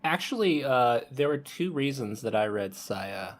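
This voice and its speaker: A man on a podcast panel sharing casual anecdotes, pausing often with ums. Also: medium pitch, animated.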